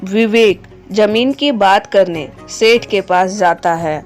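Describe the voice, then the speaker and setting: Slow-paced woman story narrator. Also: medium pitch. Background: background music.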